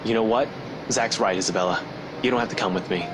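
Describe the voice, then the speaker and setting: A man's voice: fairly low pitch, quick pace, noisy background, lightly acted. An actor playing a stern, dry-witted detective in a visual novel game, firm and clipped, protective of his friends. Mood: firm, exasperated. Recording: music behind the voice.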